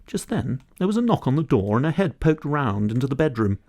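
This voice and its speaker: A man's voice: mid-range voice, very animated, brisk, a little mellow, no room sound. A middle-aged man, narrating a children's audiobook expressively. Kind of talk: expressive children's story reading.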